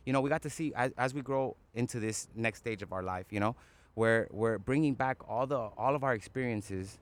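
A man's voice: mid-range voice, brisk. Emphatic man guest from Miami. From Miami, USA. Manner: affirming, emphatic, keeps saying "yes, sir". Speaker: podcast guest, entrepreneur. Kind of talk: casual podcast chat.

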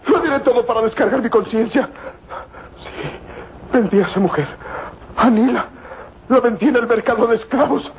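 A man playing a dying, guilt-ridden Bedouin villain in a melodramatic radio drama, pleading and halting as he repeats his words. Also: very high-pitched, slight background noise. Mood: guilty anguish. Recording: muffled old radio drama, loud music.

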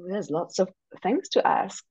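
A woman's voice: medium pitch, expressive, measured pace, a little mellow, slightly rough. A woman hosting a casual interview podcast, informal and thinking aloud as she phrases her questions. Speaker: podcast host. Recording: slightly dull, lo-fi close recording.

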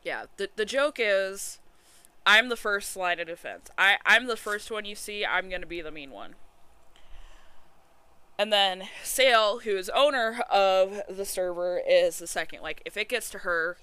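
A woman's voice: medium pitch, unhurried, smooth. A woman, co-hosting a podcast in casual chat, blunt and swearing freely as she tells stories. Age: 20s. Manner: blunt, swears often, animated storytelling. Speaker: podcast co-host.

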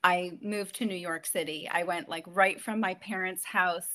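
A woman's voice: lowish voice, fairly even intonation, normal speed, bright, forceful tone. A woman in her thirties from Ontario, Canada, a guest actress chatting in an interview, telling stories and laughing often. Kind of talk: casual interview chat.

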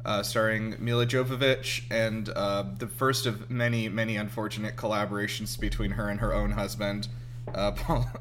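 A man's voice: lowish voice, flat delivery, normal speed, fairly bright tone, a little hiss or hum. A man hosting a casual movie reaction chat in a wry, joking way. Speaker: host.

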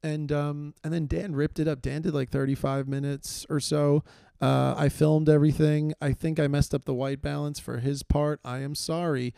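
Gravelly-voiced man rambling through a comedy podcast. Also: fairly high pitch, level tone, moderate pace, warm tone, dry recording, studio quality. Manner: rambling, self-deprecating, many fillers and restarts. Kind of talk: casual solo comedy monologue. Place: small room, filmed on video.

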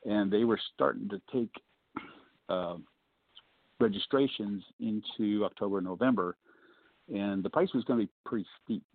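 A man with a low baritone voice, a guest on a call-in show, telling a story casually and joking along the way, with many fillers. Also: moderate pace, pauses often, no room sound. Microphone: phone. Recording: thin phone line.

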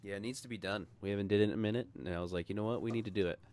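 A man's voice: on the low side, racing, darkish tone. A man co-hosting a podcast, talking in a laid-back, profane, agreeable way in casual chat with friends. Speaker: podcast co-host.

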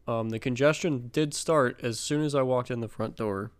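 A man's voice: mid-range voice, moderate pace. Stuffy-nosed young man, casual podcast talk. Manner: laid-back, joking, lots of fillers. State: congested, stuffy nose. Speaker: podcast co-host. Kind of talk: casual chat.

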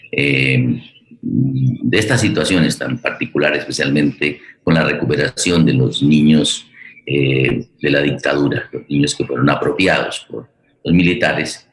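Very deep-voiced Colombian man, roomy sound. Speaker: host of an online radio theatre forum. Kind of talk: unscripted spoken introduction to a live programme.

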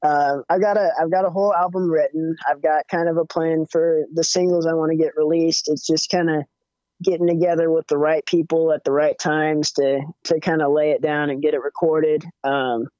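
A young man with a higher-pitched voice, chatting casually as a musician guest with lots of fillers and restarts. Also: normal speed, clean tone, no room sound. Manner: relaxed, rambling, many fillers and restarts. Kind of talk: casual interview chat. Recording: dull, muffled audio.

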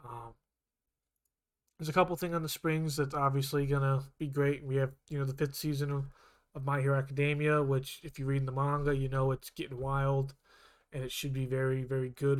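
A man's voice: mid-range voice, a little fast. A man co-hosting a podcast, chatting casually and rambling with frequent restarts. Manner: rambling, self-correcting, even-toned. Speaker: podcast co-host. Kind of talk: casual chat.

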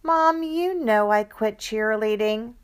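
A woman's voice: on the high side, animated, slow, clean tone, pitched above the performer's own voice. A woman voicing a teenage sister with a fake sweet, whiny way of asking.

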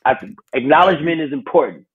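An American man telling stories casually as a guest, slangy and animated in the way he acts out little exclamations. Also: fairly high pitch, fast, breathy edge. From Milwaukee, United States. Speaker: podcast guest, travel series host. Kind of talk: casual conversational storytelling. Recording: thin phone-like call audio.

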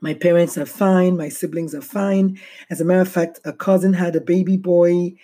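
A middle-aged woman hosting a solo podcast, speaking slowly and heavily with a low, barely audible voice. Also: fairly even intonation, dark tone. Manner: slow, heavy-hearted and reflective. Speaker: podcast host.

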